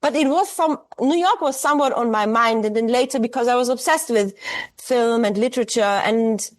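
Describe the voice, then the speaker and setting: A woman's voice: a little high, a little fast. Austrian woman, thoughtful and hesitant. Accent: Austrian German. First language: German. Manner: thoughtful, hesitant, many fillers and restarts. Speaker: artist guest. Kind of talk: reflective interview conversation.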